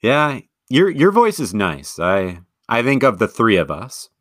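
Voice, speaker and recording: A man co-hosting a casual sports podcast, chatty and self-deprecating, quick to laugh. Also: mid-range voice, wildly swooping pitch, dry recording, clean studio sound. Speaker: podcast co-host.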